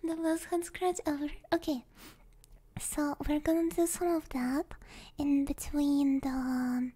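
A young woman with a high, mellow voice talks softly into a close ASMR microphone. She often drops to a breathy whisper and takes her time, rambling gently and hesitantly as she chats with viewers. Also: level tone, unhurried. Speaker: ASMR streamer. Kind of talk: ASMR, casual chat with viewers. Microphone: close stereo ASMR microphone.

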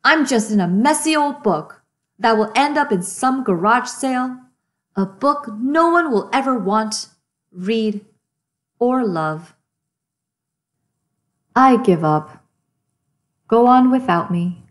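Woman as excitable, exasperated little storybook boy. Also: a little high, slow pace, long pauses. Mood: defeated, glum. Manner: exclamatory, dramatic, complaining.

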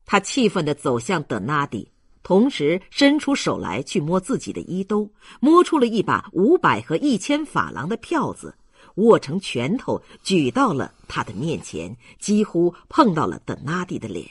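A male narrator reads a story aloud in a dramatic audiobook style. His voice is husky and medium in pitch, with lively intonation, captured in a dry recording. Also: normal speed, no echo.

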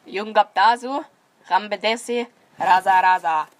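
A woman's voice: mid-range voice, measured pace, lightly acted. A woman playing a cryptic, solemn female secret agent in a children's radio play. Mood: chanting. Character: mysterious female secret agent recruiting her successor.

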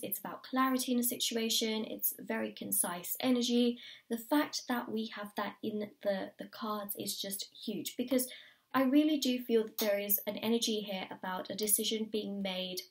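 A woman with a higher, slightly croaky voice talks a viewer through a tarot reading. She is encouraging and often repeats her key phrases. She sounds a little distant from the mic, at a table indoors. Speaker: tarot reader. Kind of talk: pick-a-card tarot reading to camera.

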